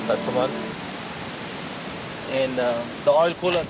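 A young man with an Indian accent, casually showing off a car outdoors, pausing often with ums and uhs. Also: medium pitch, very noisy. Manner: hesitant, many ums and uhs. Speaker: car vlogger. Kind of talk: casual walkaround car review. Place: outdoors by a roadside among trees, windy. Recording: distant voice under loud music.

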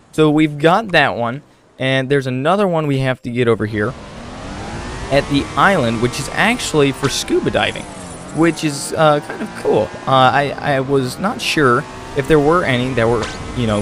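A man giving casual game walkthrough commentary, chatty and thinking aloud as he plays. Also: medium pitch. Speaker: gaming video host.

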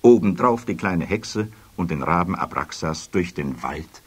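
A man's voice: low voice, animated, unhurried, husky. A man narrating a children's fairy-tale audio play as a scripted storyteller. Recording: music behind, children's cassette production.

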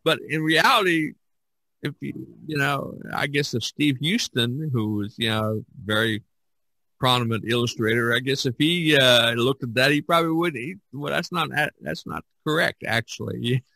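A man's voice: mid-range voice, normal speed, vocal fry, bright, forceful tone, no room sound, low-quality recording. A man hosting a casual podcast discussion, rambling along, often repeating himself and trailing off. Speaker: podcast host.

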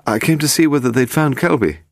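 Man voicing a flustered publisher, raised pitch. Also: brisk, creaky, no echo, clean studio sound, close to an everyday voice. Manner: flapping, anxious. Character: flustered anxious gentleman publisher.

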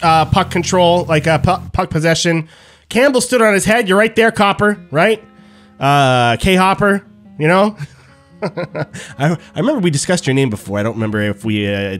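A young man with a fairly high voice gives post-game hockey talk to his stream, animated and repeating himself for emphasis. He is close on a studio microphone in a small room at home. Faint music plays behind him. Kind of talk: casual post-game chat to viewers. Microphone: studio mic on stand, headphones on.

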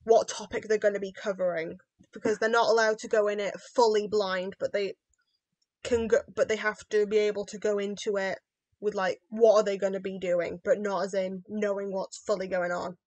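A woman's voice: medium pitch, level tone, moderate pace, darkish tone, dry recording. A young woman with a British accent in casual chat, explaining things in an informal way. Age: young adult. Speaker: podcast co-host, journalism student.

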